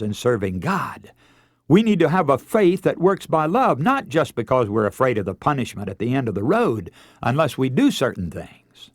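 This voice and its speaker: Radio preacher with sweeping, dramatic pitch. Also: male voice, no room sound, clean studio sound. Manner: earnest, emphatic, illustrating with anecdotes and rhetorical questions. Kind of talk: radio sermon.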